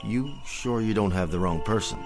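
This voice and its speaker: A man's voice: lowish voice, moderate pace, close to an everyday voice. An actor playing a bewildered, reluctant man taken for Santa Claus in a radio drama, over music. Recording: music behind the voice.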